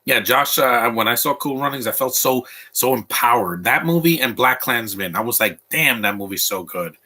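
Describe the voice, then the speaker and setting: A man's voice: medium pitch, expressive, moderate pace, bright, forceful tone, husky. A man giving casual live commentary while watching a film at home, rambling and restarting his sentences often. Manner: rambling, stop-start with repeated restarts. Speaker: movie commentator.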